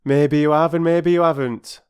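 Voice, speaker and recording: A man's voice: on the high side, fairly even intonation, moderate pace, a little mellow, clear, resonant tone, voice pushed up, natural voice. A man voicing a huffy working-class railway porter who talks in a lofty, sulky way with clipped dialect speech.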